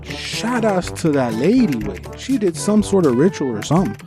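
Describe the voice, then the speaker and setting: A man's voice: fairly high pitch, sing-song, moderate pace, music in the background. A man co-hosting a podcast, chatting casually about movies with slangy, enthusiastic fan talk. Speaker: podcast host. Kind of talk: casual movie review chat.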